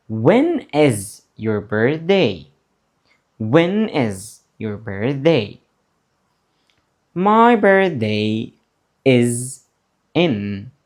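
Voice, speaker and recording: A male teacher drills beginners word by word. He speaks very slowly, leaving long pauses, and his pitch rises and falls with lively, encouraging swings. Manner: slow, deliberate, repeats words for learners to copy. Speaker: English teacher. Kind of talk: slow beginner English lesson, drilling words.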